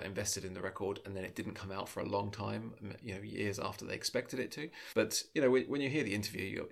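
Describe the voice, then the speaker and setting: Gravelly male host, casual and chatty, a bit self-deprecating. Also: fairly low pitch. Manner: chatty, self-deprecating, many small restarts. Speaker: podcast host. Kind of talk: casual chat and interview questions.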